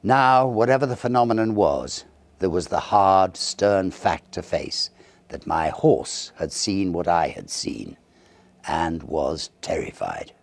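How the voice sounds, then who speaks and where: A man narrates a Victorian ghost tale in a low, gravelly voice. His delivery is theatrical and measured, with animated swoops and dramatic pauses. He sounds like a classic storyteller at work. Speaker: audiobook narrator.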